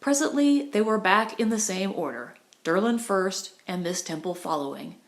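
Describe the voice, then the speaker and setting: A woman's voice: medium pitch, lively intonation, moderate pace. Woman narrating an audiobook. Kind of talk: scripted narration of a 1920s romantic comedy novel.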